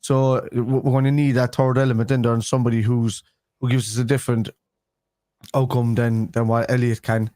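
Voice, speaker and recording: A man's voice: mid-range voice, level tone, a little fast, mellow, dry recording, clean studio sound. A man with a Liverpool accent, co-hosting a football fan live stream, talking casually and rambling with restarts a day after having a tooth pulled. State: speaking after a tooth extraction.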